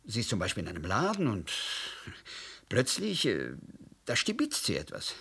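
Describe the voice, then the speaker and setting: An actor playing a troubled middle-aged husband in a radio play, speaking haltingly with fillers. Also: male voice, on the low side, wildly swooping pitch, vocal fry, fairly bright tone, breathy edge, full character voice.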